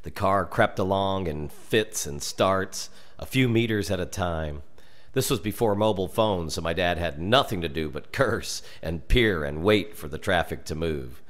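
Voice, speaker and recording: An American man reading a darkly humorous story aloud in an expressive, measured and dramatic storytelling manner. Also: low-pitched. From United States. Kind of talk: expressive scripted narration of a humorous dark tale.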